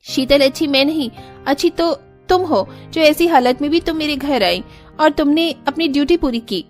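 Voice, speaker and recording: Woman voicing a high-pitched, earnest rich lady. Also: moderate pace, faint music behind, close to an everyday voice. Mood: apologetic, earnest. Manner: earnest, apologetic. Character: hot-tempered but kind wealthy female employer.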